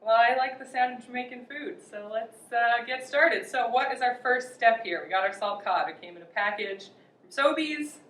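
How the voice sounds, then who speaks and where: Woman, young, chatty host, higher voice, echoey and distant sound. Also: normal speed, a bit bright. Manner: chatty, laughs often, upbeat. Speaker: outdoor cooking show host. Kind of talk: casual cooking demo chat. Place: outdoor cooking spot at a river park. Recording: echoey, distant, faint noise.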